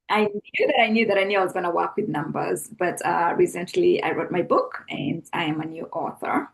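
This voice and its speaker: A woman's voice: fairly low pitch, normal speed, vocal fry, rough recording. A middle-aged woman with a Kenyan accent, speaking as an interview guest in a reflective, earnest, conversational way.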